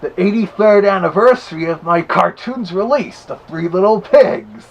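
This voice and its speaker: A man as a gravelly, husky cartoon wolf. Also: sits high, normal speed, rough recording. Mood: chatty, easygoing. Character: Big Bad Wolf, chatty cartoon villain reviewing records. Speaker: vlog host. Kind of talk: casual review vlog.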